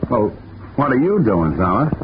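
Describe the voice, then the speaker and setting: A man's voice: medium pitch, big pitch swings, normal speed, background noise, lightly acted. Wry streetwise private detective played by a man. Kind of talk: 1950s radio drama acting. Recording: dull old radio broadcast recording.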